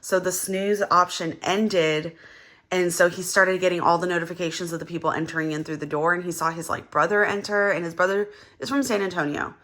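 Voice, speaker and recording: Young woman, lowish bright voice, bit gravelly from just waking, chatty and rambly while counting bills. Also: fairly even intonation, fairly quick. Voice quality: gravelly early-morning voice. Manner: chatty, rambling, with many fillers and counting aloud. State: slightly hoarse from just waking. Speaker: budgeting vlogger. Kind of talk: casual chat while counting cash.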